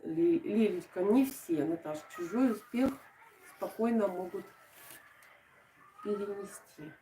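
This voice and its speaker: A chatty woman from Odesa speaks with a soft southern Ukrainian g in her Russian. She hosts a relaxed live stream and answers her viewers like old friends. Her voice is mid-pitched and sounds roomy, recorded at some distance from the mic. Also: takes it slowly, slight background noise. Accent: southern Ukrainian Russian, soft g and "sho". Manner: chatty and warm toward viewers, with frequent laughs and fillers. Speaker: host of a craft live stream. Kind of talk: casual live-stream chat, reading out viewer comments.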